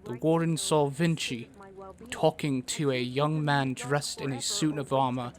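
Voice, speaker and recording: Male narrator, medium pitch, scripted fantasy storytelling. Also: fairly even intonation, unhurried.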